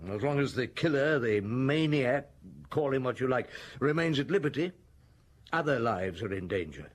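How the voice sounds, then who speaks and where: An actor playing a weary, authoritative police chief who questions people in a measured, probing way. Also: male voice, mid-range voice, expressive, natural voice. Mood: calm. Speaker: detective chief inspector. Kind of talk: classic radio drama acting.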